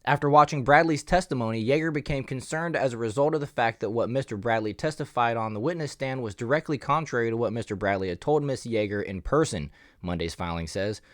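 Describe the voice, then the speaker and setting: A man's voice: medium pitch, a little fast, fairly bright tone, no room sound, clean studio sound. A man hosting a commentary channel, moving between reading news and giving his own opinion in a conversational, emphatic way.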